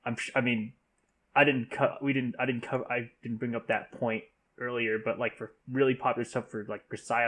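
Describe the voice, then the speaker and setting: A man in a casual podcast discussion, tentative and halting, often correcting himself mid-sentence. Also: medium pitch, fairly bright tone.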